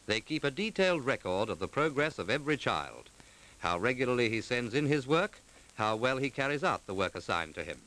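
A man delivering formal, measured scripted narration in the manner of a 1940s documentary, clearly enunciated. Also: medium pitch, big pitch swings, fairly bright tone, poor audio. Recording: vintage 1940s film soundtrack.

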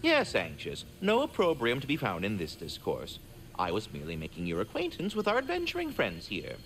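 A man's voice: lowish voice, wildly swooping pitch, brisk, fairly bright tone, slight background noise, heavily acted. An actor voicing a courteous, wordy, fatherly giant squirrel with flowery formal speech in a video game. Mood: polite. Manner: verbose, formal, flowery diction. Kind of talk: theatrical video game acting.

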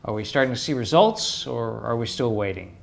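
An enthusiastic male host in his forties, leading an interview from a living room set. His voice sits at a middle pitch, and he asks his questions with an upbeat energy. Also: lively intonation, a little fast, vocal fry. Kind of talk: interview hosting.

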